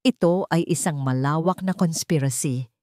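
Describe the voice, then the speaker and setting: A woman narrating a scripted drama story, phrasing it dramatically and deliberately in short pieces. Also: low voice, mellow, no echo, studio quality.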